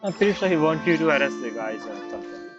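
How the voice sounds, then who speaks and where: Female game streamer: quick, casual, muttered asides, slightly muffled mic over faint music. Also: deep voice, animated. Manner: informal, muttering short reactions. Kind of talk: casual gameplay commentary.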